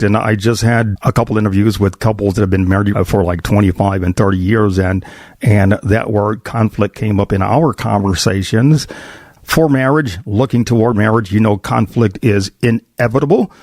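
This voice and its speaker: A middle-aged man hosting a roundtable conversation as a pastor, speaking deliberately and haltingly, often repeating his words. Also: fairly low pitch, a little mellow, dry recording, studio quality. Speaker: host, pastor.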